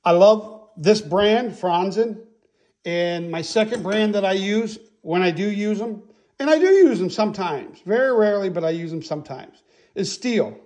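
Male, very high-pitched voice. Folksy, emphatic how-to host, excitable and casual, talking through a hands-on demo. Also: moderate pace. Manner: folksy, emphatic, repeatedly checks with "okay?" and "all right?". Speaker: host, chainsaw sharpening instructor. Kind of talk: casual hands-on tutorial to camera. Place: indoor workshop.

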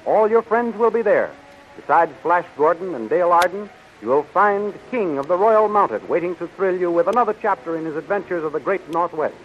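A man's voice: high voice, expressive, brisk. A man with an American accent announcing a 1930s radio serial in a theatrical, declamatory scripted style. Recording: dull, noisy 1930s radio recording.

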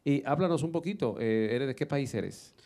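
A man's voice: medium pitch, lively intonation, moderate pace, warm tone. A middle-aged man hosting a live cable TV show, interviewing a guest and introducing acts in an upbeat announcer manner on a handheld mic in a small studio. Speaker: TV host.

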